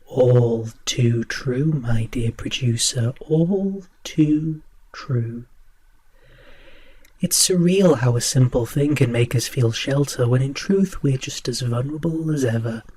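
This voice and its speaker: A man playing a courteous, ominous host of a spooky radio drama, speaking in formal, theatrical phrases with a husky voice. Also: medium pitch, takes it slowly, a little mellow. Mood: brooding. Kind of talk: horror radio drama hosting.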